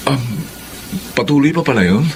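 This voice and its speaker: A man playing a repentant former boyfriend in a Filipino radio drama, halting and earnest as he speaks. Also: medium pitch, lively intonation, darkish tone, slight background noise, close to an everyday voice. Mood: hesitant, hopeful. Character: repentant, hesitant male suitor, a pleading former boyfriend.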